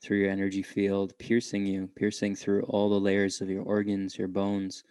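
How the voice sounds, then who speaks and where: A man guiding a meditation in a gentle, unhurried way, leaving long pauses between short phrases. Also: sits low, completely flat delivery, smooth, dry recording, clean studio sound. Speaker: meditation guide. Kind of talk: guided meditation.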